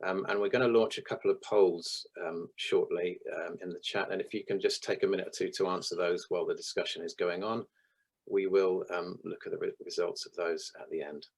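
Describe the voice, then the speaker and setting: A man with a very low, deep voice hosts an online panel. He is hoarse and croaky from losing his voice, and his talk is full of ums and small restarts. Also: steady tone, fairly quick. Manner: hesitant, with frequent ums. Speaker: webinar host. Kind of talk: hosting a video-call panel. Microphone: video call.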